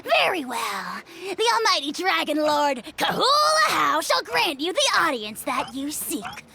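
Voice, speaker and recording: A shrill, gravelly little dragon lord, squeaking high and swooping up and down as he rants. He is vain and bossy, booming grand threats. A bright, sharp video game voice that drawls slowly over a faint hiss. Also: sing-song, full character voice. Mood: mocking, grandiose. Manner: grandiose, bossy, theatrical shouting. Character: pompous tiny dragon lord, boastful and threatening.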